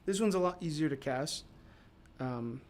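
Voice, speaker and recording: A man with a slightly high voice roughened into a raspy, low rasp by allergies talks loosely to his viewers. He wanders through fillers and restarts as he demonstrates a casting process. A faint background noise sits under the voice. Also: animated, moderate pace, a little mellow. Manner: rambling, with many fillers and restarts. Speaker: sculptor demonstrating mold casting. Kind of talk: casual livestream talk to viewers.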